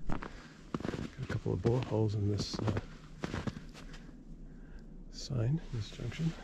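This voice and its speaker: A man casually narrating his own hike through snowy woods, pointing out trail features in a relaxed, plain-spoken way. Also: on the low side, unhurried, creaky. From New England, US. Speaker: hiker filming his own trail video. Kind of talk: casual narration while hiking. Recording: loud outdoor noise, clicks.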